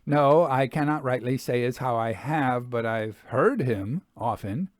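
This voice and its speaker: A man's voice: mid-range voice, measured pace, dry recording, studio quality, lightly acted. A man voicing a plain-spoken, hard-working country farmer in a storybook, friendly and matter-of-fact, with rustic grammar.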